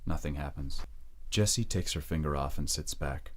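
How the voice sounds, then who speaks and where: A man's voice: extremely low pitch, moderate pace. A man reading scripted narration for an audio drama as its narrator.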